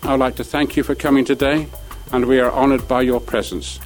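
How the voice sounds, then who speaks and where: Man, ship's captain, gracious formal speech, middle pitch, faint music. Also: fairly even intonation, fairly quick. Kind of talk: ceremony speech.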